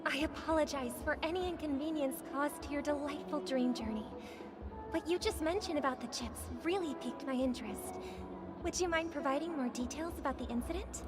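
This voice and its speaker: Game casting: high female voice actress in role, courteous celebrity singer, measured and soothing, music playing prominently behind. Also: lightly acted. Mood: reassuring, gentle. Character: poised, courteous famous songstress soothing anxious guests. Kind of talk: video game voice acting.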